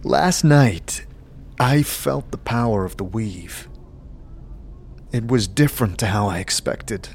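A man voices an uncertain wanderer with no memory in a fantasy audio drama. He is earnest and halting, taking his time over his words, with faint noise behind. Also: fairly low pitch, creaky, darkish tone. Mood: earnest, hesitant. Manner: hesitant, earnest. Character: uncertain amnesiac male wanderer seeking understanding.

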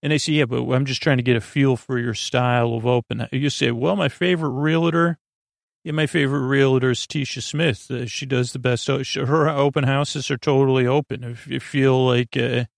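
A man hosting a sleep podcast in a meandering, halting, improvised bedtime monologue with a gravelly voice, trailing off mid-sentence. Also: medium pitch, steady tone, dry recording, studio quality. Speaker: podcast host.

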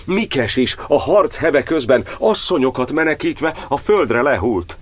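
A man's voice: medium pitch, animated, lightly acted. An actor playing a fervent, grieving churchman, declaiming an impassioned poetic monologue in a radio drama. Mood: mournful. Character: fervent, grieving churchman preaching faith amid war.

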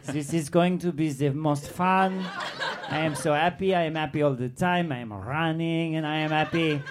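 Man putting on an excitable high-pitched French bulldog. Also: normal speed, lightly acted. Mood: happy, rambling. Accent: put-on French, slipping toward Eastern European. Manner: exuberant, childlike, broken English, breaks character self-mockingly. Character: happy, excitable male French bulldog, movie star's adoring pet. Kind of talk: improvised live comedy character. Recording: noisy live comedy recording.

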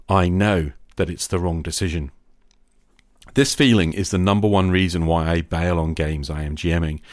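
A middle-aged man hosting a podcast, delivering a reflective scripted monologue in a measured, confessional manner. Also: sits low, dry recording, studio quality. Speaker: podcast host.

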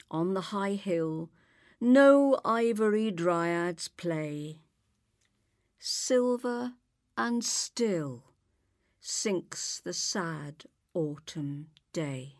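Very slow woman reciting poetry. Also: medium pitch, dry recording, studio quality. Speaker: poetry reader.

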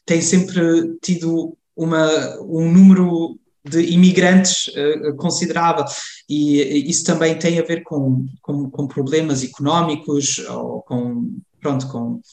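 A man's voice: fairly high pitch, fairly even intonation, slow, darkish tone. A young man from Germany, a guest in a casual conversation, speaking hesitantly with many fillers and restarts. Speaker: interview guest. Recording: roomy echo.

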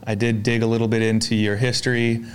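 A man's voice: lowish voice, completely flat delivery, quick pace, smooth. A man hosting a podcast, asking friendly, rambling questions with an upbeat, casual manner. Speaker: podcast host. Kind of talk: casual interview conversation.

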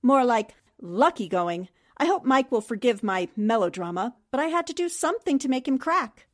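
A woman's voice: fairly high pitch, very animated, moderate pace. A woman playing a shrewd, self-assured newspaperwoman sleuth in 1940s radio mystery style, explaining things precisely and methodically. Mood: confident, methodical.

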